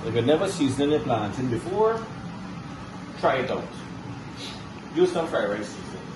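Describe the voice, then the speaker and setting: A man's voice: medium pitch, very animated, normal speed. A man in his forties with a Guyanese accent, chatting casually as he presents a home cooking vlog. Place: home kitchen, indoors. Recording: noisy kitchen, loud appliance hum.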